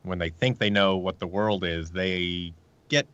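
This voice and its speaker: A man with a fairly low voice speaks as a guest pitching his creative project. His delivery is informal and halting, with joking asides, and the recording sounds somewhat dull and lo-fi. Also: steady tone, fairly bright tone, clean tone, no echo. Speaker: guest, audio drama creator. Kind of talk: casual conversational pitch.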